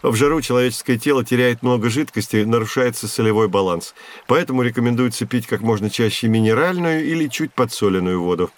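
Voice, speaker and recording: A man playing a knowledgeable, calm guide who instructs children in a scripted educational audio drama. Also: mid-range voice, fast, natural voice. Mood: calm, explaining. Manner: didactic, instructive.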